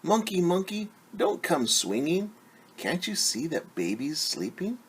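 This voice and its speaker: A man voicing an anxious mother from a storybook, pleading in a sing-song, drawn-out way with hushed shushing for quiet around her baby. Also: on the high side, sing-song, breathy edge, voice pushed up, full character voice. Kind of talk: storybook read-aloud, rhythmic refrain.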